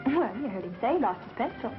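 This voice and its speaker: An actress playing a quick-witted, wry woman under suspicion in a 1930s mystery film. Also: a little high, sing-song. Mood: dry. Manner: wry, sarcastic retorts. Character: quick-witted, sarcastic woman suspected of murder. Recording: muffled 1930s film soundtrack with music.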